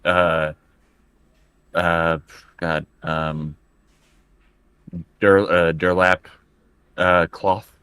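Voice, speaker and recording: A man with a low, bright voice, recorded close and dry, plays a tabletop role-playing game. He improvises casually, often stammering and hesitating as he hunts for the right word. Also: fairly even intonation, drawn-out words, long pauses, clean tone, no room sound. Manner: hesitant, stammering over words.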